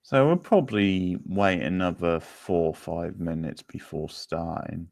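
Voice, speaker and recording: A man hosting a livestream quiz, chatting casually in an unhurried, rambling way as he replies to viewers. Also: deep voice, creaky, darkish tone, dry recording, clean studio sound.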